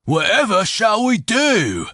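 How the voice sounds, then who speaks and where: Storybook sidekick: jovial mocking sellsword, voiced by a man, higher, oddly colored tone. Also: big pitch swings, a little slow, bright, forceful tone, dry recording, studio quality, full character voice. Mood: mock terror. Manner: mock-theatrical, teasing. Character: hearty male mercenary companion, mocking.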